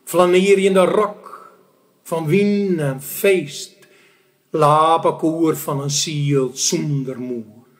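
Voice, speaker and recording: Male poetry reciter, fairly high-pitched, extremely slow, declamatory with weighted pauses. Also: steady tone.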